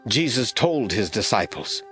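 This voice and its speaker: Guy narrating a bible audio drama, expressive storyteller, music in the background. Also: fairly low pitch, measured pace.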